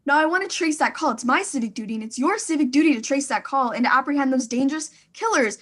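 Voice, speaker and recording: Woman acting as fretful rich married lady, high-pitched, indignant, stammering, radio drama. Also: fast talker, lightly acted. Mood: furious, demanding. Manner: indignant, demanding, stammering in agitation. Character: imperious, frantic, fretful well-to-do married woman.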